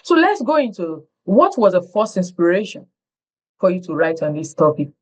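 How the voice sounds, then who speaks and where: A woman's voice: on the low side, big pitch swings, moderate pace, faint music behind. A woman hosting an interview, effusive and stretching her words for emphasis over a video call. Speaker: interview host.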